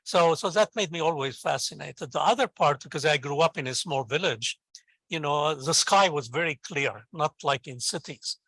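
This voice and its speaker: Bright-voiced older Lebanese man. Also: on the high side, normal speed, breathy edge, no echo. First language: Arabic. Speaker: invited guest, professor and scientist. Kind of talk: conversational interview answer, storytelling.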